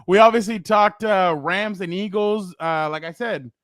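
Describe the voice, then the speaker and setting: A fairly high-voiced man hosts a casual sports show, loud and rambling with frequent laughs. His voice is close and dry, with no room sound around it. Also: moderate pace, no room sound. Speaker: co-host.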